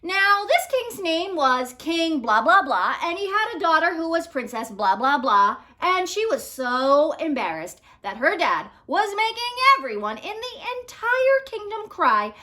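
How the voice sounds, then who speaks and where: A woman reading a picture book aloud to children in an expressive, theatrical way with deliberate phrasing. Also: very high-pitched. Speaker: storybook reader.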